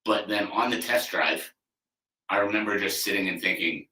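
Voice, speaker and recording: Man in his thirties, low and bright, rambling casual podcast talk, a bit off-mic in a reverberant room. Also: normal speed. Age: 30s. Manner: conversational, loose, frequent "you know" and "like" fillers, occasional swearing. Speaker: athlete coach, podcast host. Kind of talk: casual solo podcast monologue. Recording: echoey room, mic at a distance.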